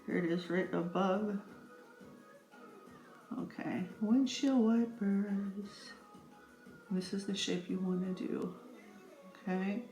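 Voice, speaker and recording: An echoey room surrounds a middle-aged woman with a medium-pitched voice. She talks in a playful, rambling way, like a casual tutorial addressed straight to viewers. Faint music plays behind her. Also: fairly even intonation, slow pace, pauses often, warm tone. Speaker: makeup vlogger. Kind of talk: casual chatty makeup tutorial.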